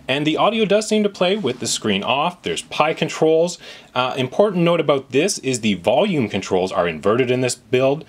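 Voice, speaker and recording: A man hosting a tech tutorial, narrating a step-by-step walkthrough in an explanatory, reassuring manner. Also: medium pitch. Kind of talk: instructional screen walkthrough narration.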